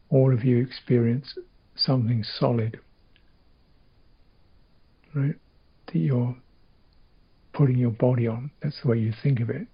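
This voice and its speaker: A man teaching a guided meditation in short, reflective phrases with long pauses, his voice gravelly and husky. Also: mid-range voice, highs cut off.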